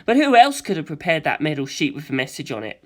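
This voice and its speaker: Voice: mid-range voice, big pitch swings, fast talker. A narrator reading a first-person story expressively, in the manner of an audiobook.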